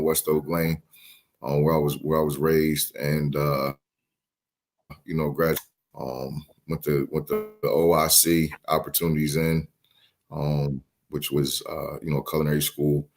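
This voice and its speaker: A man's voice: extremely low pitch, flat delivery, measured pace, soft background music. A young man from Philadelphia, a company CEO as a guest, talking casually with hesitations and repeated words. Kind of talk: casual interview talk.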